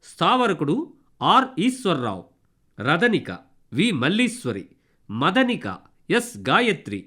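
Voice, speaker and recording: High-pitched, lively male radio announcer. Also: measured pace, slightly rough. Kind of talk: formal scripted credits reading.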